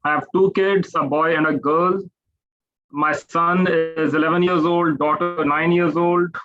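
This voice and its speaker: A man's voice: on the high side, fairly even intonation, measured pace, soft background music. A man in his thirties with an Indian English accent, giving an informal online lecture with many fillers and restarts. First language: Hindi or Punjabi. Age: 30s. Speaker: guest lecturer. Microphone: video call. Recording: dull lo-fi video call audio.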